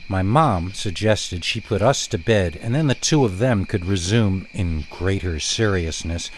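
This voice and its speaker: A man reading a first-person scary story as a narrator, expressive and dramatic, leaning on words with deliberate pauses. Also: sits low, a little hiss or hum, rough recording.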